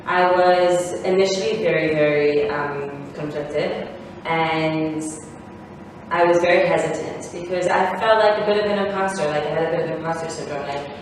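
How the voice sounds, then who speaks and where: A woman's voice: low-pitched, fairly even intonation, moderate pace. A woman in her thirties, born in Israel and raised in South Africa, giving a rambling personal talk to parents with many fillers and restarts. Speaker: guest speaker. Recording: echoey hall from far off, faint noise.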